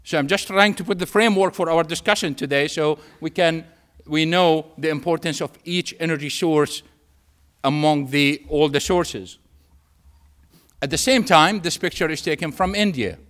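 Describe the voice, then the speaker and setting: Male lecturer, Syrian Arabic accent, native Arabic speaker, slightly high pitch, emphatic storytelling delivery with deliberate pauses. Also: fairly bright tone. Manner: emphatic, repeats phrases, pauses for effect. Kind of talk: public lecture with anecdotes and humour.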